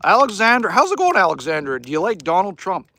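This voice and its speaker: A man's voice: high-pitched, huge pitch swings, fast talker, bright, forceful tone, slight background noise. A middle-aged Canadian man hosting a casual livestream from his cabin, chatting folksy and joking with viewers as he reads their comments. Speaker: livestream host. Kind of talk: casual live chat reading viewer comments. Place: cabin by a wood fire.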